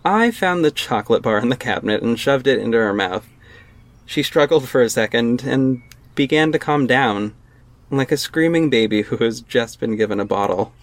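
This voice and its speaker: A man's voice: medium pitch, animated, moderate pace, no room sound. Wry young man narrating a drama. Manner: wry, casual, conversational narration. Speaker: narrator and lead actor. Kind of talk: first-person audio drama narration. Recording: music bed underneath.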